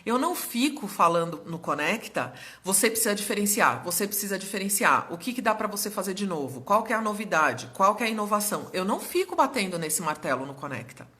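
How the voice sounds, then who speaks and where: A slightly hoarse young woman hosting a casual live stream as a business mentor, explaining things in a chatty way and often checking in with her viewers. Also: medium pitch, very animated, fast talker, few pauses, pushed and bright.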